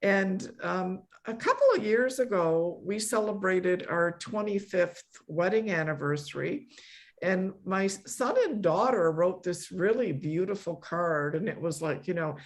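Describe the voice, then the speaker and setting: A middle-aged woman from a Polish immigrant family, hosting an online meetup in a casual, effusive, emphatic way. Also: fairly low pitch. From born in Poland, grew up in a Polish immigrant family. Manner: effusive, emphatic repetition, quick to laugh. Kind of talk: casual chat, thanking a guest.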